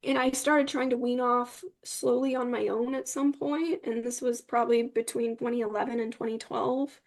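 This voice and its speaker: A young woman being interviewed, with a slightly high voice full of vocal fry. She talks candidly, in a personal, conversational way. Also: steady tone, moderate pace. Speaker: interview guest.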